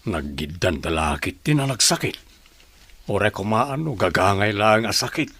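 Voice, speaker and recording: A man's voice: lowish voice, lively intonation, a little slow, a bit bright, husky, heavily acted. A man playing a dutiful grown son talking respectfully to his mother in a radio drama. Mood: respectful, concerned. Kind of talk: radio drama acting in conversational dialogue.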